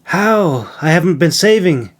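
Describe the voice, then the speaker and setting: A man voicing an eager, demanding young male trainee in a fantasy audiobook, excitable and insistent. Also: fairly high pitch, unhurried, voice pushed up. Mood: indignant.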